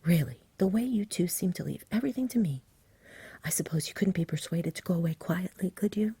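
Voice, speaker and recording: A woman voicing an earnest, impatient young village boy in a soft, whispery ASMR storybook reading. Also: on the low side, expressive, a little fast, husky, no echo, voice pushed up, full character voice. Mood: nettled. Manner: earnest, pleading.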